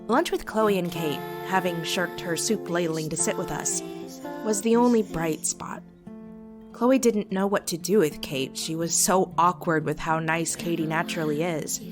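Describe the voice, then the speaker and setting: Woman acting wry, lowish-voiced teenage girl over guitar. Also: moderate pace, close to an everyday voice. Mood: wistful, wry. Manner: wry, sarcastic, emotive. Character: sardonic, fiercely loyal teenage girl confiding in her journal. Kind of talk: dramatic first-person journal narration in comic dub. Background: soft guitar music.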